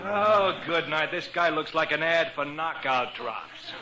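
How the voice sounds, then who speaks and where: A man's voice: a little high, animated, unhurried, a crowd in the background. An actor playing a sly, sardonic warehouse workman and prankster in a 1940s radio comedy. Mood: sly, amused. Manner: sardonic, slangy. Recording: noisy muffled 1940s radio recording.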